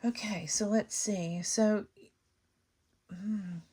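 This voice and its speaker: Slightly hoarse woman reading tarot. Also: mid-range voice, fairly even intonation, very slow, dark tone. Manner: thinks aloud with many fillers and restarts. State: slightly hoarse, losing her voice. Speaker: tarot reader and channel host. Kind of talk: casual tarot reading to camera.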